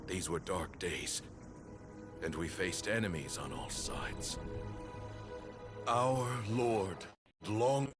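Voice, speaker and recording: Elderly desert priest, very low voice, slow grave storytelling, sweeping intonation, video game, music behind. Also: male voice, animated. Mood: grave, bitter. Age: older. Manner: grave, measured, ceremonial. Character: solemn old male priest of an ancient desert temple.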